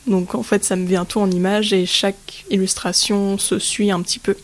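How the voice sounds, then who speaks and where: A woman's voice: mid-range voice, flat delivery, normal speed. Casual young-sounding woman artist. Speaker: guest artist. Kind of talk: radio interview answers.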